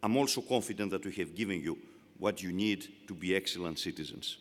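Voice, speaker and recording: A man with a Greek accent giving a formal, measured commencement address to graduates. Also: sits low. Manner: measured, emphatic oratory. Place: large indoor arena. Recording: long arena reverb.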